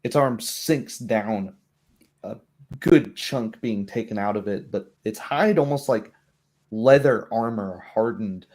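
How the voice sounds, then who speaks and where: A man narrating a tabletop roleplaying game as game master, describing scenes slowly and in detail. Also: fairly low pitch, a little mellow.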